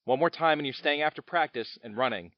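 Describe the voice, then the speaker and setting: A strict basketball coach, played by a man, giving sharp orders in a slightly high voice. The recording is dry and muffled. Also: fairly quick, no echo, lightly acted. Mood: stern. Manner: stern, barking orders. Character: stern male basketball coach.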